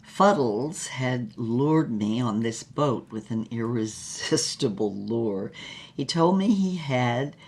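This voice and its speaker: A woman's voice: extremely low pitch, expressive, slow, a little mellow, exaggerated voice. Woman acting wry, worldly, tough femme fatale. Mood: wry recounting. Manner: wry, sardonic, unflappable. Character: worldly, wry, tough glamorous woman recalling her love affairs. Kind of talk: dramatic first-person storytelling in audio fiction.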